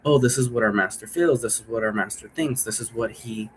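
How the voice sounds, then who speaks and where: A man's voice: mid-range voice, fairly quick, a little hiss or hum. A man casually explaining his role-play game in a rambling way, often checking for agreement with tag questions. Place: indoor room.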